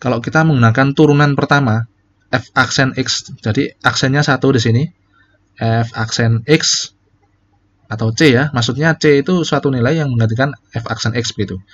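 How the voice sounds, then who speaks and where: A man's voice: medium pitch, moderate pace, lo-fi sound, a little hiss or hum. A man teaching calculus in a casual, step-by-step way, often correcting himself. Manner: casual, explains step by step, many fillers and self-corrections. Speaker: calculus lecturer. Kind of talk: recorded calculus lecture.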